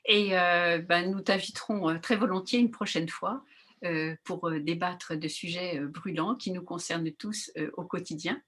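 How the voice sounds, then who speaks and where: A woman's voice: lowish voice, fairly even intonation, moderate pace, fairly bright tone. A woman moderating an online discussion, speaking with many hesitations and fillers.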